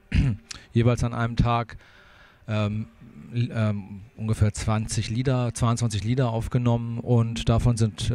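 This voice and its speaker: A man in his fifties from Hamburg, a band leader explaining his band in relaxed, rambling talk with many fillers, outdoors on a handheld mic. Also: on the low side. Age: 50s. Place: outdoor city square.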